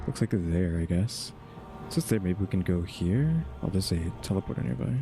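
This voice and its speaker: A man's voice: deep voice, expressive, moderate pace, vocal fry. A man giving casual, laid-back gameplay commentary, thinking aloud as he plays. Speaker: gaming video creator. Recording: loud game music behind voice.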